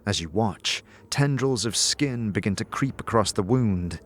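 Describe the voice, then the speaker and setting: A man giving dramatic, descriptive second-person narration for a fantasy audio drama, deliberate and theatrical. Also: fairly low pitch, animated. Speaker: narrator and game-story performer. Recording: faint music behind the voice. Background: faint music.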